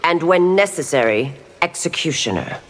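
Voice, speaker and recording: A woman's voice: low-pitched, animated, normal speed. A woman playing a proud, stern chief constable in a fighting game, authoritative and guarded. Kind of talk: fighting game character acting. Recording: long hall reverb, faint music.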